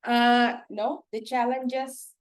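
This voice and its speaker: A woman moderating a panel discussion and taking questions, relaxed and hesitant with many fillers. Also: fairly high pitch, drawn-out words.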